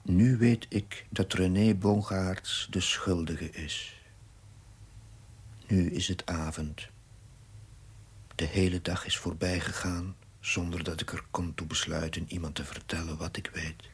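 An actor playing a troubled, self-doubting curate who narrates his diary gravely and introspectively in a husky, breathy voice. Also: low voice, steady tone, measured pace, exaggerated voice. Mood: despondent, hesitant. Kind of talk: brooding dramatic diary narration.

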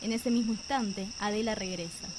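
A woman's voice: mid-range voice, fairly even intonation, background noise. Suspenseful Argentine woman narrator. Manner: measured, suspenseful storytelling. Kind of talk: scripted radio drama narration. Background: music.

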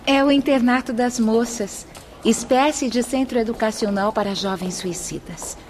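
A woman's voice: fairly high pitch, moderate pace, darkish tone, faint music behind, close to an everyday voice. Composed female spirit guide voiced by an actress, reverberant. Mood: calm, explanatory. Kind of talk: radio drama acting. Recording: reverberant, faint background ambience noise.

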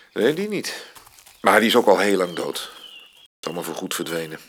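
An actor playing a pensive middle-aged astronomer in a radio drama. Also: male voice, low voice, very animated, moderate pace, a bit bright, a little hiss or hum. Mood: grave, matter-of-fact.

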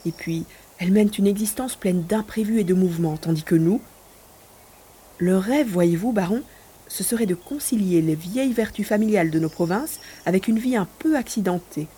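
A woman's voice: mid-range voice, normal speed, mellow, breathy edge. A woman playing a bored, romantic provincial spinster in a French audio drama, with a mannered, theatrical delivery. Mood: wistful.

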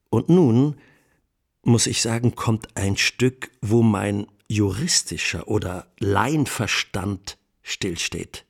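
An actor playing an indignant, sardonic lawyer in a radio play, addressing a court in with rhetorical emphasis and pointed pauses. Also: male voice, mid-range voice. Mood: incredulous, sardonic.

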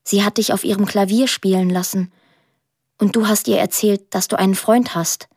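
A woman playing a lonely, dreamy twelve-year-old girl in a quiet, poetic radio drama monologue. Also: medium pitch, steady tone, moderate pace, breathy edge, dry recording, studio quality. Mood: tender, lonely.

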